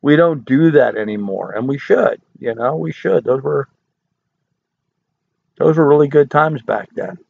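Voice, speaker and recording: Hoarse older man, gravelly live-streamer. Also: medium pitch, a little slow, long pauses, dry recording. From United States. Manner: folksy, nostalgic, rambling. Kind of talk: casual live stream chat reading comments. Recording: dry, tinny phone-like audio.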